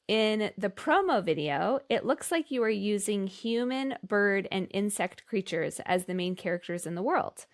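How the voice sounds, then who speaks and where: A woman co-hosting a podcast, talking in a casual, enthusiastic and effusive way. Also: mid-range voice. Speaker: podcast co-host. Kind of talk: casual conversational discussion.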